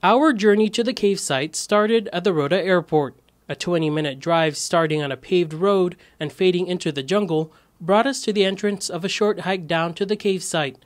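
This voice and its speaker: Higher-pitched young male news reporter. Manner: measured, clear reporting delivery. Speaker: news correspondent. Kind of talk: scripted news narration.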